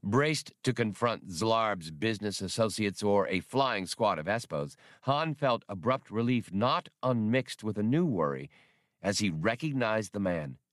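Guy narrating a sci-fi novel, lowish voice, dry close sound with no room. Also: lively intonation, measured pace, a bit bright, no echo. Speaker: audiobook narrator. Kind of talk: scripted fiction narration.

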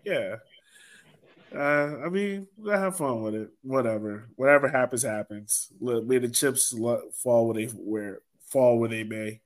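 A man's voice: medium pitch, measured pace. A man hosting a sports podcast, chatting in an informal, colloquial way. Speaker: podcast host. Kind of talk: casual sports podcast chat.

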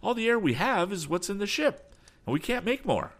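A man's voice: sits low, huge pitch swings, creaky, a bit bright, heavily acted. A man voicing an earnest young male inventor who exclaims urgently in an adventure storybook. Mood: despairing. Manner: quick, startled exclamations.

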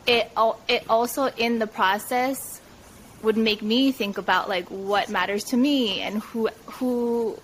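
A woman's voice: fairly high pitch, steady tone, measured pace, slight background noise, rough recording. A young woman co-hosting a podcast, chatting upbeat with many "like"s and frequent laughter. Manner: upbeat, lots of "like", laughs often. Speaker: podcast co-host. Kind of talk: casual podcast chat.

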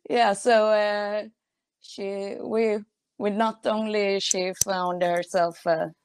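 A woman's voice: mid-range voice, fairly even intonation, very slow, clean tone, no echo. A woman from Sweden with a Swedish accent, a musician chatting casually as an interview guest, hesitant and often laughing. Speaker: guest, punk band musician.

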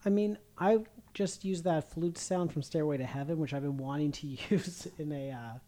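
Laid-back man, mellow tone, casual and filler-heavy. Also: fairly high pitch, moderate pace. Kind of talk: casual chat.

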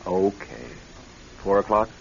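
An actor playing a haunted, edgy husband in a radio drama, troubled and strained, swinging from subdued to snappish. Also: male voice, deep voice, slow, vocal fry, full character voice. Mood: edgy, defensive. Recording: muffled, hissy old radio recording.

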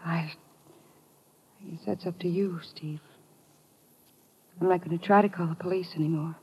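A woman's voice: lowish voice, fairly even intonation, moderate pace, a little mellow, breathy edge. An actress playing a conflicted, wary woman in a 1950s radio drama, hesitant and intimate. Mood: mixed up, tender. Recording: muffled vintage radio recording.

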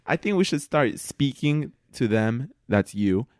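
A man's voice: medium pitch, lively intonation, a little slow. A young man hosting a casual podcast chat with friends, loose and laughing as he talks. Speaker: podcast host.

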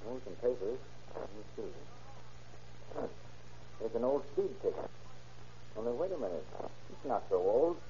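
Eager cop played by a man in old radio drama, mellow voice, dull recording. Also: medium pitch, very animated, normal speed, pauses often, close to an everyday voice. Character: eager male police detective, middle-aged. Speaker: detective. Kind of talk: 1930s radio acting. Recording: dull vintage radio recording with faint hiss.

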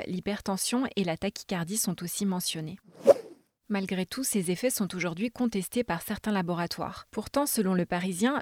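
A woman narrating a scripted health podcast, speaking clearly and informatively to the listener. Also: mid-range voice, darkish tone, no room sound, studio quality.

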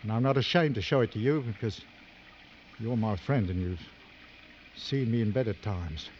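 An actor playing a weary, shaken big-game hunter, candid and grim, in 1950s radio drama style. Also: male voice, lowish voice, normal speed, a little mellow, close to an everyday voice. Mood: weary confession. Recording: muffled, noisy old radio drama recording.

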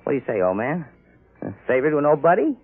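Creaky-voiced henpecked pal, old radio. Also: male voice, on the high side, very animated, fast, no echo, narrow, tinny audio, heavily acted. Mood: hopeful, eager. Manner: chummy, marveling. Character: henpecked husband, gullible eager old pal. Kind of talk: old-time radio drama acting. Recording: band-limited old radio broadcast recording.

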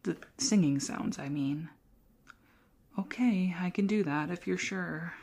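A woman playing a shy, hapless young female ghost in an ASMR roleplay, speaking softly and often whispering, with theatrically spooky teasing. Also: lowish voice, slow pace, exaggerated voice. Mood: dejected, sheepish. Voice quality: soft, breathy, often whispered.

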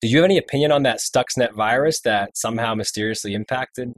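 A man's voice: mid-range voice, fast talker, no echo. A man co-hosting a podcast, chatty and telling stories while asking questions. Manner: chatty, storytelling. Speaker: guest co-host. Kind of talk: casual podcast conversation.

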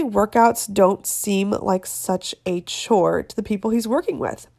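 A woman's voice: on the high side, creaky, darkish tone. Stuffed-up nasal woman podcast host. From Florida. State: congested from a head cold. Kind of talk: casual podcast chat. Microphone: video call.

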